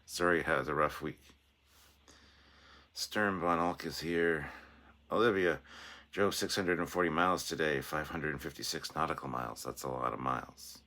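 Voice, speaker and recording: A man hosting a casual livestream with a sore, hoarse throat, chatting in a rambling way and reading out viewer comments. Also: a bass voice, moderate pace, bright, forceful tone. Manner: rambling and chatty, with restarts and self-deprecating asides. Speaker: livestream host.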